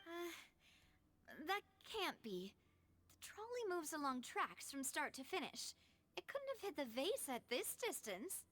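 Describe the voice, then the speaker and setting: A woman's voice: high-pitched, sing-song, a little slow, fairly bright tone, a little hiss or hum, exaggerated voice. A woman playing an earnest, inquisitive young female investigator in an anime video game, speaking in an articulate, theatrical manner.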